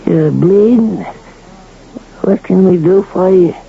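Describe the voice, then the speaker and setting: Casting: wronged, earnest rancher for radio Western, high voice, slow delivery; tinny vintage recording. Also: male voice, gravelly, exaggerated voice. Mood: worried. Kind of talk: old-time radio drama acting. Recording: tinny, band-limited old radio recording.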